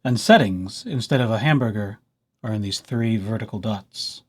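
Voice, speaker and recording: A man presenting a casual software tutorial as a library host, with playful, wry asides. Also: lowish voice, normal speed, breathy edge. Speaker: library presenter hosting a digital services tutorial.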